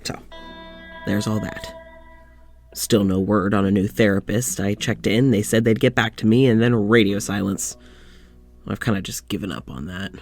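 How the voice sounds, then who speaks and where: An actor playing a lonely, weary doctor in an audio drama, confiding in a halting, sighing way. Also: male voice, fairly low pitch, darkish tone, soft background music, lightly acted. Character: lonely, weary young doctor venting to his demon dog.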